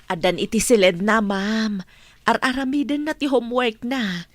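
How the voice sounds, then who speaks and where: A woman's voice: fairly high pitch, normal speed, dry recording, close to an everyday voice. A woman with an Ilocano accent playing an attentive, respectful household helper in a television drama. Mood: attentive, courteous.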